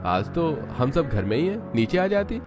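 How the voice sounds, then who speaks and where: Man voicing a caring young husband, pitched up. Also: sing-song, moderate pace, over music. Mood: concerned, eager. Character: caring young husband, office worker, in cartoon story.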